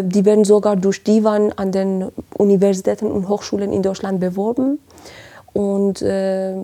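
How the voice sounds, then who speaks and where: A woman's voice: mid-range voice, gravelly, dark tone, slightly rough. A woman from Iran, heads a language school, answering interview questions hesitantly with many fillers. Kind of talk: podcast interview.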